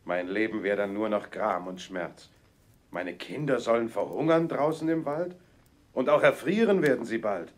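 A poor, soft-hearted woodcutter father played by a man in a fairy-tale audio play. His voice is low, heavy and plaintive. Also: normal speed, close to an everyday voice. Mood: pleading. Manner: heavy, plaintive rhymed lines.

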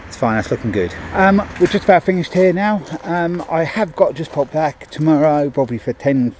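A man's voice: a little high, lively intonation, normal speed, a little mellow, a little hiss or hum. A middle-aged man, a handyman, chatting casually with lots of ums as he shows finished work outdoors on a body-worn camera. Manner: chatty, many ums and restarts. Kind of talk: casual on-the-job narration. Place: outdoors at a driveway gate. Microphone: body-worn action camera.

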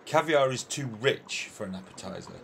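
A man acts out an opinionated admiral in a radio play. His delivery is theatrical and disputatious, swinging widely in pitch as he savours his words. There is faint noise on the recording. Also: animated, normal speed, vocal fry, fairly bright tone. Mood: relishing, argumentative. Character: opinionated, food-loving old-fashioned military admiral, courteous and argumentative. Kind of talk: amateur radio play acting.

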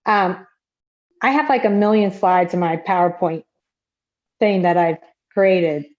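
A woman's voice: lowish voice, measured pace, a little mellow. A woman taking part in an online workshop, chatting casually and self-deprecatingly as she asks questions over a video call. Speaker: workshop participant. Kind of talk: casual chat, asking questions. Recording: dull, lo-fi video call audio.

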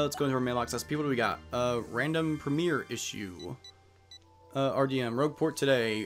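A young man giving casual, wry commentary while playing a video game and reading the on-screen text aloud. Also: mid-range voice. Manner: chatty, wry asides, reads game text aloud with put-on voices. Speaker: let's play host. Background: faint game music.

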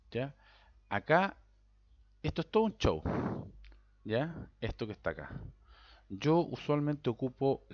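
A Chilean man with a Chilean Spanish accent, walking through a software setup in a casual screen-recorded tutorial, rambling and checking in with a constant "¿ya?". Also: medium pitch, no echo. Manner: rambling, repeats words, tags "¿ya?" constantly. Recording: dull lo-fi sound.

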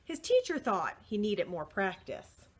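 A middle-aged woman reading a picture book aloud to young children, expressive and deliberate, with short asides to the listeners. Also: medium pitch. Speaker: children's library storyteller. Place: indoors, small room with bookshelves.

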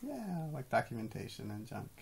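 A man's voice: mid-range voice, wildly swooping pitch, normal speed, creaky, a little mellow, slight background noise. A man in his thirties chatting casually and playfully in a small bedroom, musing as he goes. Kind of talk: casual chat. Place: small bedroom, lying in bed.

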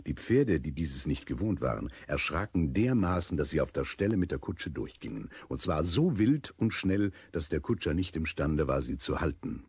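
An actor as the composed narrator of a children's adventure audio drama, with a husky voice. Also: male voice, rumbling low, very animated, moderate pace, hardly any pauses, darkish tone, no room sound, exaggerated voice. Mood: dramatic, composed.

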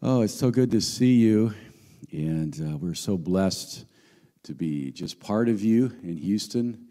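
A middle-aged visiting pastor with a handheld mic, his voice going hoarse, speaking earnestly with many fillers. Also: on the low side, animated, slow pace, big-room sound. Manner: warm, earnest greetings and prayer with frequent fillers. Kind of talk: sermon. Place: large church hall, on stage. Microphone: handheld microphone.

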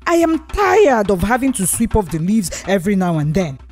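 Fed-up village neighbour male role, played by a woman. Insistent, exasperated, swinging pitch, storybook style, light music underneath. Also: sing-song, normal speed, darkish tone, lightly acted.

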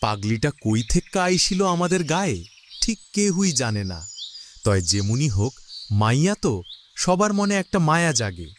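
A man's voice: a little high, big pitch swings, moderate pace, slight background noise. A man voicing a kindly, pitying rustic villager in a fairytale cartoon, with a rural Bangla dialect. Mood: pitying, resigned.

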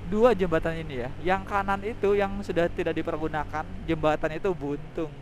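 A man's voice: fairly high pitch, steady tone, brisk. A man with a Sundanese accent casually narrating the road as he rides a motorbike, recorded on a bike-mounted action camera. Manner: chatty, pointing out sights along the road. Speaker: motovlogger. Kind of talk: casual narration while riding. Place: on a moving motorbike, outdoors. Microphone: helmet or bike-mounted action camera. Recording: wind and road noise.

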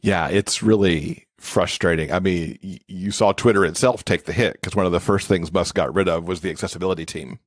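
Lowish-voiced man explaining things. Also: brisk, few pauses, no room sound, clean studio sound. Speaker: podcast guest, accessibility expert. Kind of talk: casual explanatory interview talk.

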